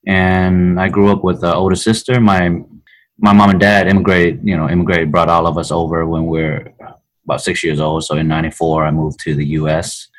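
A man in his thirties from Vietnam talks through his life story as a podcast guest. His voice sits very low, and he rambles in an easygoing way, full of fillers. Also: a little fast, poor audio. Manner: relaxed storytelling with many fillers. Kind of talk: casual chat.

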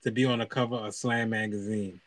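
A man co-hosting a casual chat show, telling lively anecdotes and acting out the other people's lines. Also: lowish voice, brisk, over a noisy crowd. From Haiti (parents), raised in the US. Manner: animated storyteller, acts out voices in anecdotes. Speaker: podcast co-host.

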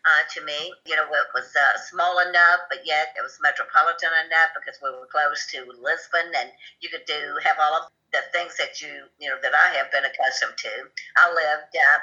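Bright-voiced Southern US woman, folksy. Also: low voice, steady tone, dull audio. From Alabama, US. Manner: chatty, folksy, frequent "uh" pauses. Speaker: guest, expat group organizer. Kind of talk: casual interview conversation.

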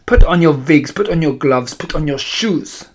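A man's voice: on the high side, expressive. Man putting on an imperious, malevolent witch. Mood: commanding, scornful. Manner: slow, emphatic, broken grammar. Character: imperious, malevolent female Grand High Witch plotting against children.

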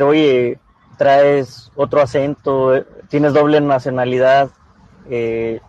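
A man hosting an interview conversationally, haltingly repeating words as he shapes his thoughts. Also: medium pitch, a little mellow, dry recording. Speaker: interviewer, program host. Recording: dull lo-fi sound with background noise.

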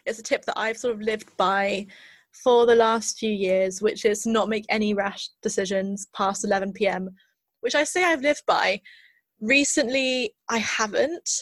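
Woman in her 20s, podcast cohost, earnest and soft-spoken, mid pitch, dry sound. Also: moderate pace, no room sound. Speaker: podcast co-host. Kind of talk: casual chat.

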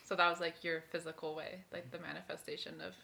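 Female host, low-pitched but bright and forceful voice, probing and playful questioning. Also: level tone, quick pace, vocal fry, a little hiss or hum. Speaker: podcast host, interviewer. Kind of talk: casual conversational interview.

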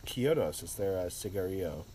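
A man with a stuffy, congested voice from a cold, hosting a casual podcast chat in a relaxed, rambling way. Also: low-pitched, normal speed, background noise. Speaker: podcast host.

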